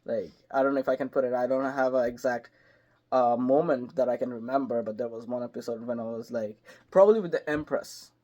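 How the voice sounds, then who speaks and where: A man talking casually as a guest in an interview. His voice sits at an ordinary middle pitch, and it climbs and swells when a story gets exciting. His phrasing is loose and full of small hesitations. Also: brisk. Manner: animated storyteller, jumps higher and louder when excited. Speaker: voice actor guest.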